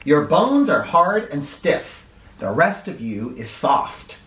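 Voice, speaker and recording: Male children's book reader. Slow, lively sing-up-and-down delivery, instructive and direct, heard a little away from the mic. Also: fairly high pitch, slight background noise. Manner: expressive, instructive, addressing young listeners directly. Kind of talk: animated read-aloud of a children's picture book, with asides. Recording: roomy echo, distant from the microphone.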